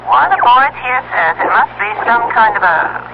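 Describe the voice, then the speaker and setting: A plainspoken country radio contact with an extremely high voice. He talks colloquially through a thin, noisy two-way radio filter in a radio play. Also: lively intonation, moderate pace. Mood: matter-of-fact. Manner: folksy, colloquial. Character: folksy male radio-contact colleague, casual and plainspoken. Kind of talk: radio drama acting. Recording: tinny noisy radio transmission.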